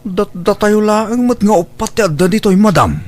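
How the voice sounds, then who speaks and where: A man playing an easygoing driver, very animated intonation. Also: high-pitched, normal speed. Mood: deferential, helpful. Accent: Ilocano. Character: easygoing male household driver, helpful and deferential. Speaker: drama actor. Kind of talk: Ilocano radio drama acting.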